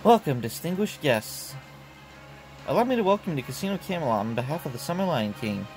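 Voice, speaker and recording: A man voicing a polite, courtly male knight in a game who welcomes guests in a formal, measured way. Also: a little high, sing-song, darkish tone, faint music behind, low-quality recording. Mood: courteous.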